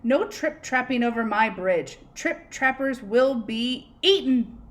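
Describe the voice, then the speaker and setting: A woman's voice: a little high, lively intonation, voice pushed up. A woman voicing a grumpy, tired storybook troll who makes menacing threats in rhyme. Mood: threatening. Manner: loud, menacing, drawn-out rhyming threats.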